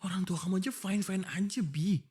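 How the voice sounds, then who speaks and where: A man's voice: sits high, steady tone, fast talker, a little mellow, breathy edge. A young man with an Indonesian accent, voicing a frustrated, pleading boyfriend in an ASMR roleplay.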